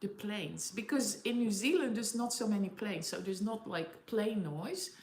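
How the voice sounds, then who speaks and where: A woman's voice: mid-range voice, a little slow, a little mellow, roomy sound, slight background noise. A woman from the Netherlands with a Dutch accent, chatting casually with viewers on a livestream and laughing often. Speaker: livestream host. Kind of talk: casual live chat with viewers. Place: home in Auckland.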